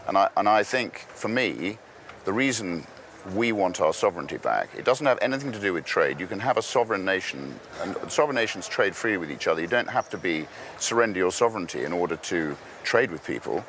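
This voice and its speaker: A man's voice: sits low, big pitch swings, fairly quick, fairly bright tone, a little hiss or hum. A man giving a political interview, arguing firmly and stumbling over repeated words as he pushes his points. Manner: emphatic, repeats words when pushing a point. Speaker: interview guest. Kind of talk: political debate.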